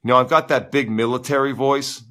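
A man hosting a live viewer Q&A as a tennis coach, with a big booming voice and an animated, ranting delivery full of repeats and "you know". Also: fairly quick. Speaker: tennis coach, show host. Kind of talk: live-stream chat with viewers.

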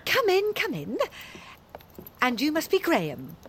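Chirpy, swooping British mum. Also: female voice, high voice, wildly swooping pitch, a little slow, slight background noise, exaggerated voice. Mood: bright, welcoming. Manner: bright, sing-song, politely fussy hostess. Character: chirpy fussy middle-class mother hosting her grown daughter. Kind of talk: audio drama acting.

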